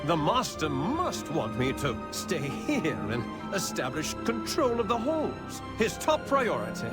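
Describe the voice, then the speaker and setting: A scheming courtier from a gothic fantasy game, fairly high-voiced and very slow. He fawns with pompous, lively swoops, under loud orchestral music. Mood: smug. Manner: fawning, pompous, drawn-out. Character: obsequious, self-important vampire courtier, a scheming chamberlain. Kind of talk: theatrical video game character acting.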